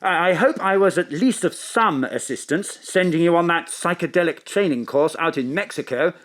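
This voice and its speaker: A man's voice: on the high side, moderate pace, fairly bright tone, lightly acted. A man playing a genial, suave intelligence chief in an audio drama, jovial and politely old-fashioned.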